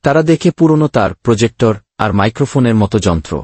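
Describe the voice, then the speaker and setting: A woman narrates a scripted story in an extremely low, husky voice. She moves briskly through it, and the sound is close and dry. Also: lively intonation, dark tone, no echo.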